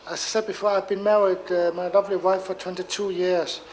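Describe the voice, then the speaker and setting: A man's voice: sits high, level tone, fairly quick, low-quality recording. A man from Denmark, the founder of a football team, answering interview questions in a hesitant way with many filler sounds.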